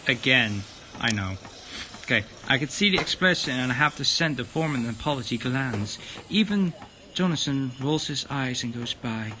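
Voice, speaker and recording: A man streaming a visual novel and reading its narration aloud, a bit halting. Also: medium pitch, lively intonation, background noise. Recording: guitar music behind the voice.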